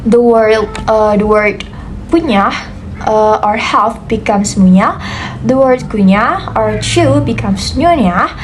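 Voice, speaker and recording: A young woman gives a class presentation, pausing often with "uh" and "okay". Her voice sits a little high. A faint hiss of noise runs under the recording. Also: poor audio. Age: young adult. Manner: hesitant, frequent "uh" and "okay". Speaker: student presenter.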